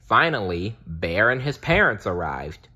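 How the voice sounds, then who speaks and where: Slow, husky man reading a storybook. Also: lowish voice, very animated, sharp tone. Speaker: storybook reader. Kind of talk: children's read-aloud narration.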